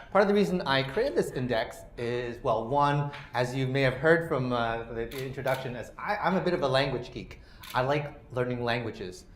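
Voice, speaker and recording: A man's voice: mid-range voice, a little hiss or hum. Man in his forties, lecture presenter, casual filler-laden delivery, roomy hall sound. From Canada. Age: 40s. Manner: loose, full of "you know" and "uh" fillers. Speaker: guest speaker. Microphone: microphone.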